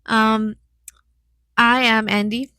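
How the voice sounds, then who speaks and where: A woman's voice: on the high side, flat delivery, slow pace, pushed and bright, clear, resonant tone, no echo, clean studio sound. Young Mexican woman podcasting in accented English. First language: Spanish. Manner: hesitant learner's English with frequent little giggles. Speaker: podcast host. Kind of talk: casual solo podcast talk.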